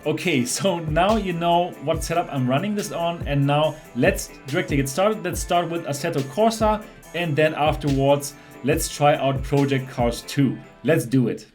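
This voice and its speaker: A man's voice: a little high, normal speed, darkish tone, soft background music. A man from Germany with a German accent, chatting through a gameplay test as a VR reviewer. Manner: chatty, explanatory, enthusiastic about cars. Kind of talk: casual gameplay commentary.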